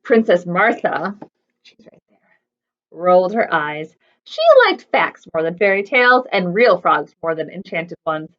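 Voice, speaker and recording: A woman's voice: on the low side, unhurried, a bit bright, muffled recording. A woman hosting a children's storytime, reading aloud expressively and chattily putting questions to young listeners. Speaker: children's library storytime host.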